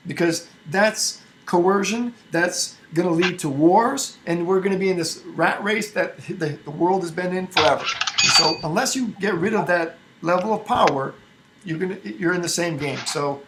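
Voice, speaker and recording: A man's voice: high-pitched, normal speed, background noise. A man from Albania speaking emphatically in a casual group discussion, arguing his point with frequent restarts.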